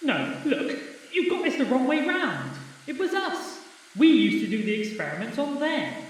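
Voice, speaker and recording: Echoey distant guy acting a bewildered earthman, flustered and indignant, really high voice, amateur radio play. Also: wildly swooping pitch, moderate pace, heavily acted. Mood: protesting. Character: bewildered, protesting ordinary Earthman. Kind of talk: amateur audio drama acting. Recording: very echoey hall, distant mic, faint noise.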